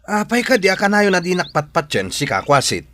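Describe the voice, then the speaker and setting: Man playing a high-pitched taunting young village bully. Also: very animated, a little fast, bright, forceful tone, slight background noise, full character voice. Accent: Ilocano. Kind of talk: Ilocano audio drama acting.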